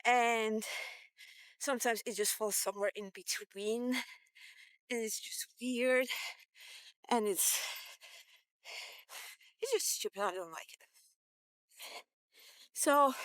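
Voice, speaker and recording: A middle-aged woman, not a native English speaker, with an accent mixing Irish and British influences, thinking aloud in short, halting fragments in a casual vlog monologue. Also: a little high, dry recording. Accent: non-native English with Irish and British influences. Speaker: vlogger.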